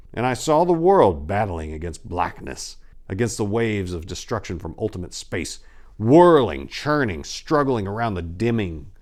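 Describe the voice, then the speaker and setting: A man reading a horror tale dramatically as a haunted, dread-filled narrator, theatrical and ominous with drawn-out emphasis. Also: on the low side. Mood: haunted awe.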